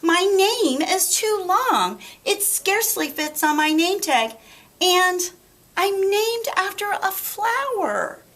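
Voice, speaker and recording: A woman reader acts out a small, easily wounded storybook girl. The voice is pitched high and swings widely, with a plaintive, emphatic delivery. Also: big pitch swings, slow pace, a bit bright, full character voice. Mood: plaintive, dejected.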